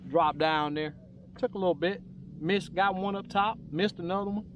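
Folksy man, higher-pitched voice. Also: slight background noise. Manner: folksy, unhurried, rambling. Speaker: angler hosting a fishing vlog. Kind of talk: casual fishing commentary. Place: open boat on a lake, outdoors.

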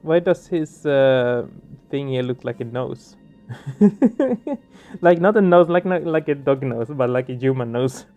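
A man's voice: medium pitch, a little slow, a little mellow, lo-fi sound. A man doing casual let's play commentary, puzzling things out with repeated questions. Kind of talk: casual game commentary and reading aloud. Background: game music.

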